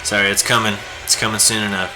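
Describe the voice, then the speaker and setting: A hoarse, raspy man chatting casually and rambling with lots of fillers while he draws on a live stream. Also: lowish voice, flat delivery, quick pace. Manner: laid-back, rambling, many fillers. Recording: music playing behind the voice. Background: guitar music.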